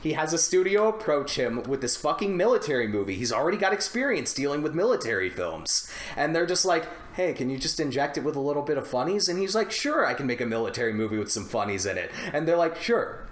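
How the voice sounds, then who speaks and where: A man chatting casually on a movie podcast, telling rambling, animated stories with plenty of swearing. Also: fairly high pitch, fast. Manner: animated, profane, rambling storytelling. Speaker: podcast co-host. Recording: roomy, echoey indoor sound.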